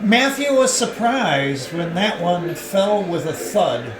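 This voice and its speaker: A man's voice: sits high, lively intonation, slow, roomy sound, a crowd in the background, low-quality recording. A man giving a dramatic reading of a novel, often with laughter in his voice. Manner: breaks into laughter while reading. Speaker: reader.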